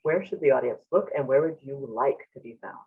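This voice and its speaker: A man hosts an author conversation, asking questions with stops and fillers. His voice is husky and heard from a distance. The sound is narrow and phone-like. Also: mid-range voice, fairly quick. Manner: warm-hearted, hesitant, with fillers. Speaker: interviewer, library event host. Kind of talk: hosting a conversation.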